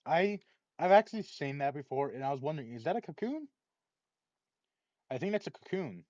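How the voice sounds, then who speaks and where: A man's voice: a little high, sing-song, fairly quick, a little mellow. A man reading captions aloud and musing on them in an informal, rambling way, with the odd casual swear word. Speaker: reaction video host. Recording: dull, lo-fi mic with faint noise.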